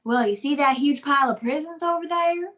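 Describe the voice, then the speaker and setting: A woman's voice: high voice, brisk, a bit bright. A woman voicing a folksy, chatty little male Christmas brownie with a Southern US accent, in old radio comedy style. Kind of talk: 1950s radio comedy acting.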